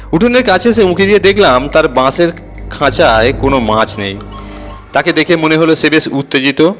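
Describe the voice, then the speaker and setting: A man's voice: mid-range voice, fairly bright tone. A man reading a scripted audio horror story as its narrator, telling it in the first person.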